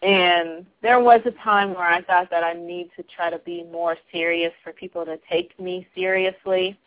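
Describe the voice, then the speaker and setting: A soft-spoken woman talking reflectively in a casual group discussion, laughing now and then. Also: on the low side, steady tone, moderate pace. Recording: tinny phone-like line.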